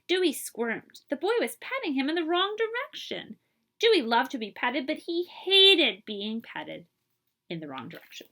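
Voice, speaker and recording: Female children's storyteller, fairly high and very lively, expressive librarian read-aloud with dramatic pauses. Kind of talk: animated children's picture book read-aloud.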